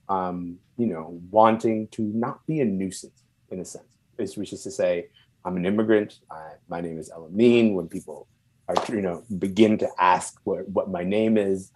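A man from Sudan who moved to Canada young and is not a native English speaker, speaking reflectively and haltingly as an interview guest, searching for words. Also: sits low, darkish tone, low-quality recording. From born and raised in Sudan, moved to Canada at twelve. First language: non-English first language.

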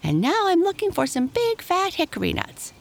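Storybook chipmunk, female performer: small, male, high-pitched, chirpy, sly and teasing, with a very animated sing-song lilt. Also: normal speed, creaky, full character voice. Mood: teasing, innocent.

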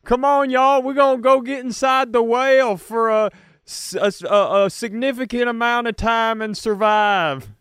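A man voicing a folksy, eager carnival ride barker for a Creationist park, loud, showy and beckoning, in comic improvisation. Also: very high voice, normal speed, clear, resonant tone, dry recording, studio quality, voice pushed up.

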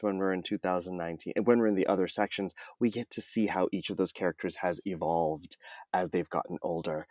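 A man's voice: sits low, darkish tone, no echo, clean studio sound. A man speaking as an interview guest, an audiobook narrator talking conversationally and enthusiastically, stretching words for emphasis.

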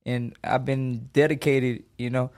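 A man in his twenties from Los Angeles talks in an easy, streetwise way as an interview guest. His voice sits at a middle pitch, and he punches his points with emphasis and slang. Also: flat delivery, measured pace, darkish tone. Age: 20s. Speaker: boxer guest. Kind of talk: casual interview.

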